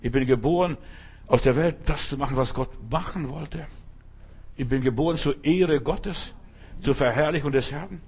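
A man's voice: fairly high pitch, moderate pace, a little mellow, slightly rough. A man preaching a sermon, speaking emphatically in repeated refrains and addressing the listener directly.

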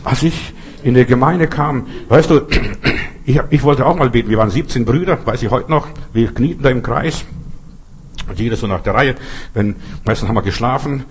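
A man's voice: mid-range voice, moderate pace, slight background noise. A middle-aged man who is not a native German speaker, preaching earnestly with personal stories and repeating phrases for emphasis. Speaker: pastor.

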